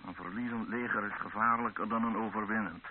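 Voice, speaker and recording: Stern actor as an army captain, narrow old radio sound. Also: male voice, on the low side, steady tone, close to an everyday voice. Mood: stern, forceful. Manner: formal, authoritative, deliberate. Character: proud, embattled male army captain, stern town commander. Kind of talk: radio drama dialogue. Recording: dull narrow-band old radio recording with hiss.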